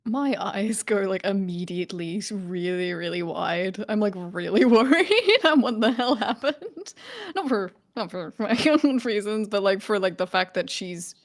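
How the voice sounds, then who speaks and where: A woman playing a tabletop game, casually describing her character's actions in a halting, giggly way. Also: mid-range voice, animated. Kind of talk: casual, improvised roleplay chat.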